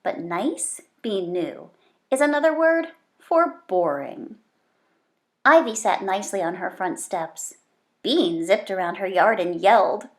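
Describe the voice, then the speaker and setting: A woman's voice: medium pitch, lively intonation, a little slow. A woman hosting a read-aloud, narrating a storybook expressively and talking directly to young children.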